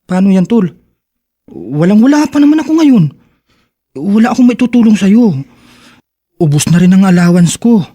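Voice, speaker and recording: A man playing a gentle, concerned young friend with an earnest, sympathetic manner in a radio drama. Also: high-pitched, expressive, moderate pace, pauses often, breathy edge. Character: concerned, cash-strapped young male friend, gentle and apologetic.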